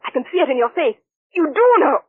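A woman's voice: high-pitched, fairly quick, dry recording, close to an everyday voice. An actress playing a frightened, anxious young woman in an old radio drama, on a tinny recording. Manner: anxious, pleading and breathless. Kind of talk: 1940s radio acting.

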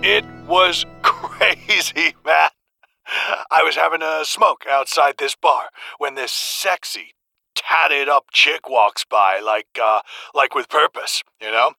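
Casting: male actor as agitated barfly phoning late-night radio, very bright gravelly voice, slangy, halting, audio drama. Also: a little high, no room sound, soft background music, heavily acted. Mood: bewildered. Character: rattled, crude barfly calling a radio show.

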